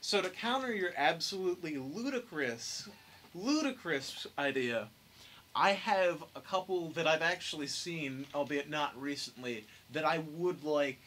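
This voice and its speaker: A man's voice: a little high, animated, unhurried, fairly bright tone. A young man hosting a casual group discussion. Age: young adult. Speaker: podcast host. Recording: clear background noise.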